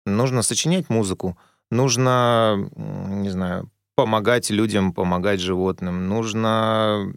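A man's voice: lowish voice, steady tone, a little slow, gravelly, smooth, dry recording, studio quality. Thoughtful male radio guest. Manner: thoughtful, searching for words. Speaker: guest musician. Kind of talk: radio interview. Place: radio studio.

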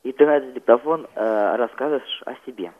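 A teenage male student being interviewed as a radio guest, recounting his experiences haltingly and searching for words. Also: mid-range voice, narrow, tinny audio.

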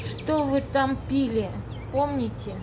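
A woman's voice: sits high, takes it slowly, noisy background. A woman playing an exasperated, easily shocked woman in an amateur audio play.

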